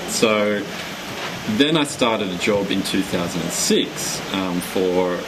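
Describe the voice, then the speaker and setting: A man, a guest chatting casually in an interview in a hallway at a convention event, talking in a relaxed, rambling way with fillers. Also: low-pitched, takes it slowly. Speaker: guest blogger. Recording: loud background hum, air conditioning.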